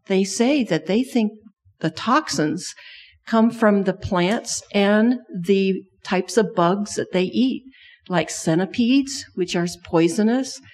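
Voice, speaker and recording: A woman's voice: on the low side, takes it slowly, a little mellow. A middle-aged woman who grew up in Thailand and speaks Thai natively, telling a children's sermon story and asking the kids many questions.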